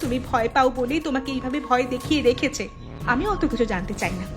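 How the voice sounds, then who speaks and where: A woman's voice: sits high, expressive, fast talker, over music. A woman voicing a principled young woman in a cartoon, firm and reproachful. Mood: indignant. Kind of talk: cartoon voice acting.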